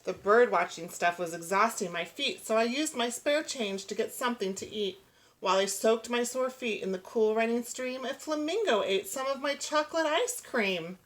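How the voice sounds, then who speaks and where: A woman reading a children's picture book aloud, giving the rhyming verse an expressive, rhythmic delivery. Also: fairly high pitch, normal speed, poor audio. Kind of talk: children's storybook read-aloud.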